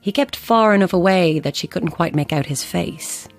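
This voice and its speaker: Audio drama: actress as blunt grieving mother and ghost believer, lowish female voice, faint music underneath. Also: moderate pace, lightly acted. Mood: grave, haunted. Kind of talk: naturalistic audio drama acting.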